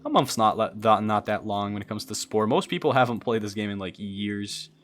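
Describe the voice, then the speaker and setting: A man's voice: lowish voice, a little fast, a bit bright, faint music behind. A young man from Brazil, a streamer chatting casually and thinking aloud as he answers viewers, often stammering and restarting. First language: Portuguese. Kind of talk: casual livestream chat while building a game creature.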